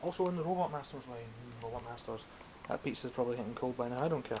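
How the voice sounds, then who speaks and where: A young man with a Scottish accent talks off the cuff from behind a home camera, dry and self-mocking as he rambles. His voice sits at an ordinary middle pitch and sounds a little phlegmy. A faint hiss runs under the recording. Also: rapid-fire. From Scotland. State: phlegmy, coughing. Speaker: toy collector vlogger. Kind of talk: casual unscripted toy haul chat. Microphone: camera mic, speaking from behind the camera.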